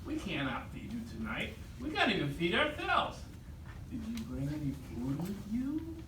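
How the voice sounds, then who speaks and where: A wary, prickly animal puppet played by a woman, grumbling dramatically. The pitch leaps around a great deal, picked up from a distance in a roomy space with faint noise. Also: wildly swooping pitch, normal speed, fairly bright tone. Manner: dramatic, complaining. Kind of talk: live puppet show acting.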